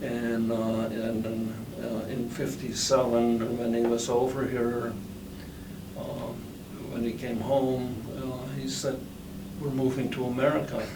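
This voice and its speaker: Slow, halting older Dutch-born man. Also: mid-range voice, flat delivery. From Netherlands. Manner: slow, halting, many fillers. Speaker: interviewee. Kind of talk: oral history interview. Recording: roomy, distant, noisy recording.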